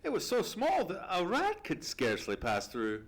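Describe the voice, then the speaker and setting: Actor as radio-drama gentleman sidekick: earnest, puzzled, formal, middle register, very varied intonation. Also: mid-range voice, wildly swooping pitch, normal speed. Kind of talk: old-time radio play acting. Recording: live stage radio play recording.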